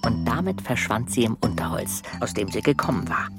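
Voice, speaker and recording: A man narrating a children's radio play in an animated storytelling style, with a gravelly voice. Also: mid-range voice, fairly quick, over loud music.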